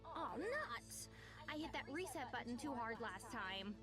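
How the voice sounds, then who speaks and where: A woman's voice: a little high, big pitch swings, a little slow. A woman voicing a perky, boastful young superheroine in a video game, bouncy and theatrical. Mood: dismayed. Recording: game audio with loud music.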